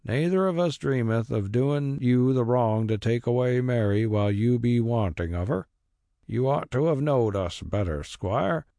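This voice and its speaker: A man's voice: mid-range voice, creaky, warm tone, dry recording, clean studio sound. A man voicing a stubborn old rustic carrier. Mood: earnest. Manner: broad rural dialect, slow and roundabout.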